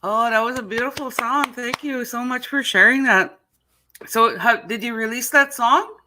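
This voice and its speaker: A woman's voice: fairly high pitch, normal speed, pushed and bright, slight background noise. A woman hosting a live online interview over a video call, hesitant, reading out viewer comments between questions. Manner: hesitant, reads out viewer comments, many fillers. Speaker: host.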